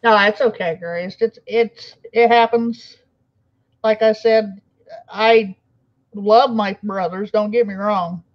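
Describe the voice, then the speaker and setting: A woman's voice: medium pitch, fairly even intonation, slow pace. Woman stream host, casual and laid-back, on a dull lo-fi mic. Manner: laid-back, laughs often. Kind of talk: casual chat.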